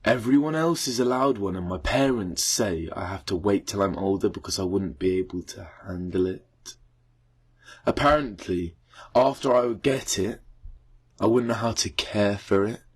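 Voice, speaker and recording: A man playing an eager, indignant boy with a husky voice in a naturalistic radio play monologue. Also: on the low side, moderate pace. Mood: indignant, yearning.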